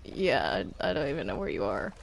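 A woman chatting casually and a little haltingly while playing a game with friends. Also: very deep voice, sing-song, creaky, a little hiss or hum. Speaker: player in a Minecraft roleplay group. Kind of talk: casual gaming chat.

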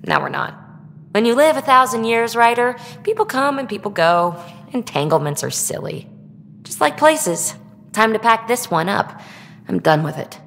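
Playful alien inventor, flippant, guarded, animated highish voice, reverberant game scene. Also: female voice, gravelly, a little hiss or hum. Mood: breezy, dismissive. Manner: playful, flippant, quick to brush off. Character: breezy, excitable female alien tinkerer, guarded about feelings. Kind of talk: video game dialogue acting.

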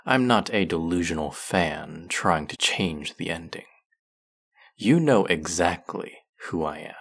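A low, unhurried villain voice, acted by a man for a fantasy audio roleplay. He is menacing and mocking, a vengeful genius who picks his words deliberately and speaks with intimate, sardonic threat. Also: animated, vocal fry, fairly bright tone, full character voice. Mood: cold menace. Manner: slow, deliberate, sardonic, dropping into whispers.

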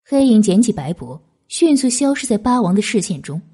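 A woman's voice: mid-range voice, sing-song, a little fast, mellow, husky. A woman narrating an audiobook novel in a scripted, dramatic storytelling style.

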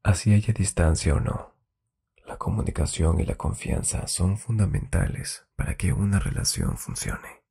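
Deep, gravelly, breathy man speaking softly. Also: wildly swooping pitch, normal speed. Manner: soft, breathy, intimate, partly whispered delivery. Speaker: ASMR host. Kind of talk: intimate Spanish ASMR relationship advice. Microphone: close-up binaural microphone.

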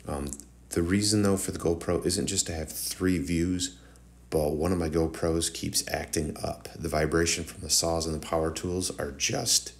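A man's voice: low-pitched, steady tone, moderate pace, vocal fry. A man with a slightly nasal, congested morning voice giving a casual, rambling tutorial voice-over straight to viewers. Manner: informal, rambling, addresses viewers directly. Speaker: host of woodworking tutorial channel.

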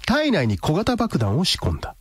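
A menacing master, wildly swinging intonation. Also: male voice, high-pitched, huge pitch swings, moderate pace, slight background noise. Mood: merciless. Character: domineering, menacing master, ruthless man with old-fashioned speech. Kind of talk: Japanese radio drama acting.

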